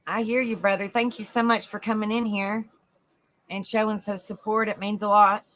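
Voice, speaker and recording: A woman hosting a casual livestream, chatting softly with viewers by name and reading notes aloud. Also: mid-range voice, level tone, normal speed, slight background noise. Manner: soft, easygoing, chats with viewers by name. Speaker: livestream host.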